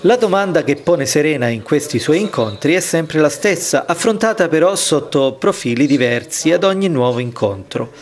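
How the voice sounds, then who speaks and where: A man's voice: fairly high pitch, expressive, normal speed, hardly any pauses. A man reading a scripted voice-over report as narrator. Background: music.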